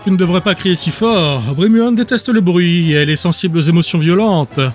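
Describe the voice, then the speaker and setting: A man voicing a smug, cruel male trainer who taunts his rival in a calm, condescending way in an audiobook. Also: high-pitched, a little fast, faint music behind, pitched above the performer's own voice, close to an everyday voice.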